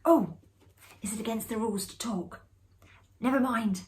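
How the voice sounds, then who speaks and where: A woman's voice: fairly high pitch, normal speed, a little mellow, pitched above the performer's own voice, natural voice. A woman voicing a sociable society lady in a ghost story, chatty, confiding and a little uneasy. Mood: hushed, playful.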